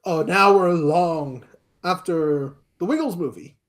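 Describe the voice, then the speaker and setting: A man's voice: on the high side, slow pace. A man hosting a casual chat, speaking in brief interjections.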